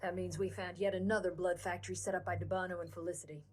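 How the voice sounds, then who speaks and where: A woman's voice: lowish voice, little pitch movement, quick pace, a little mellow, close to an everyday voice. A woman playing a stern, skeptical doctor and agency bureaucrat in a video game, curt and scornful. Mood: matter-of-fact. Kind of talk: dramatic video game cutscene acting. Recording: music behind.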